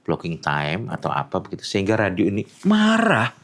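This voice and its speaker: A man's voice: low voice, wildly swooping pitch, a little fast, fairly bright tone. A middle-aged man talking casually in an interview, explaining animatedly and acting out callers' voices.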